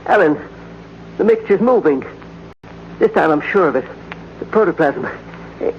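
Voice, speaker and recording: An actor playing an obsessed, irascible elderly scientist in a theatrical, fervent old-time radio drama style. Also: male voice, on the high side, expressive, moderate pace. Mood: awed excitement. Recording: noisy, muffled old radio recording.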